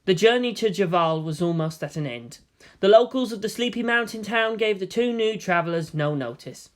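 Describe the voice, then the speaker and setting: A man's voice: high-pitched, animated, moderate pace. A man reading scripted fiction aloud as the narrator.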